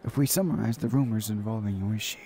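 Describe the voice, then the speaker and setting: A man hosting a gaming video, reading a visual novel aloud in a halting way, stumbling and repeating phrases. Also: on the low side, mellow, a faint crowd, a little hiss or hum.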